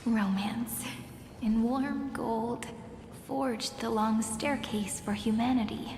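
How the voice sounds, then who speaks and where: A woman's voice: fairly high pitch, steady tone, slow pace, noisy background. A woman voicing a solemn, wistful chronicler in a fantasy game, speaking in a poetic, reflective manner. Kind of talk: dramatic game narration. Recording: echoing hall reverb.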